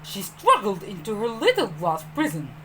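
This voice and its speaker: A woman storyteller narrating a children's storybook with dramatic, expressive emphasis. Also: medium pitch, moderate pace, slight background noise, rough recording. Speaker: storyteller, teacher.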